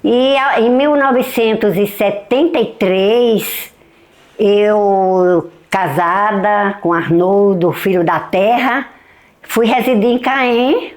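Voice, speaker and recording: Slow-spoken woman in her seventies. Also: mid-range voice. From Bahia, Brazil. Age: 70s. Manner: reflective, frequent tag questions and restarts. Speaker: retired teacher interviewee. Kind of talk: documentary testimony, reminiscing.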